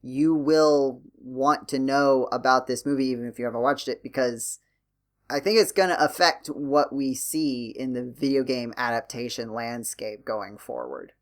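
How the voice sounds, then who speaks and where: A man's voice: medium pitch, fairly even intonation, normal speed. Male podcast host in casual discussion.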